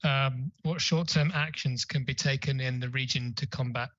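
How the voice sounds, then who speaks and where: A man's voice: mid-range voice, steady tone, normal speed, fairly bright tone, dry recording, muffled recording. A man answering a question on a conference panel, talking hesitantly with many fillers and restarts.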